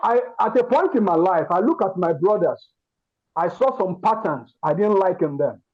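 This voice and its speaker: A Nigerian man with a Yoruba accent and a notably high-pitched voice. He speaks earnestly, as if giving a testimony to a group, stressing points by repeating words. The sound is dull and lo-fi, like an online call. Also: animated, normal speed. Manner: emphatic, repeats words and phrases. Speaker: participant in an online masterclass giving feedback.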